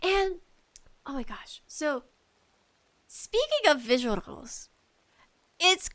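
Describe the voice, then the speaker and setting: A woman hosting a casual, rambling solo podcast chat, enthusiastic and animated in her emphasis. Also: sits high, slow. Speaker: podcast host talking about video games.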